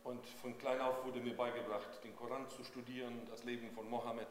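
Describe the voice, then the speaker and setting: In a reverberant hall with faint background noise, a man interprets a sermon one phrase at a time. His voice sits at a middle pitch, delivered in short live renderings. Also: level tone, brisk. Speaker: consecutive interpreter for a preacher. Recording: noisy echoey hall with long reverb.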